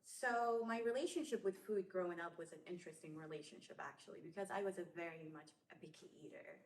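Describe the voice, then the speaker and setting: A woman in her twenties from Somalia tells her stories in a relaxed, meandering way, full of "like" and "um". Her voice sits a little low and rings in a roomy, echoey space. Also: quick pace, a little hiss or hum. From Somalia, raised in Saudi Arabia. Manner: relaxed storytelling, many fillers and likes. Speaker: podcast guest. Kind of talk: casual interview chat.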